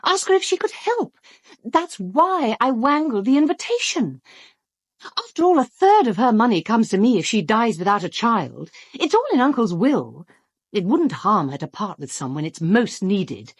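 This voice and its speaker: An actress playing a sharp, scheming, outspoken British woman with a brisk sardonic manner in a radio drama. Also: a little high, big pitch swings, breathy edge, no echo, clean studio sound, heavily acted. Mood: scheming, persuasive. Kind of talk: full-cast radio drama acting.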